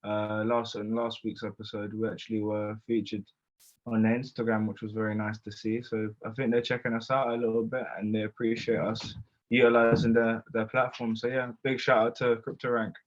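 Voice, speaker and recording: A man, co-hosting a casual webinar and cutting in with slang and lively asides. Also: lowish voice, a little fast. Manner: animated, interjects with slang. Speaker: co-host. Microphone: video call mic. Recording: lo-fi call audio.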